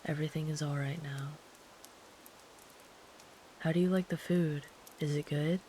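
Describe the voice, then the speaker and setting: A woman voicing a big, gentle, protective traveler camping in the woods, speaking softly and soothingly, sometimes in a whisper, as ASMR comfort roleplay. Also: low-pitched, a little slow, a little hiss or hum. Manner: soft, soothing, calm, at times whispered.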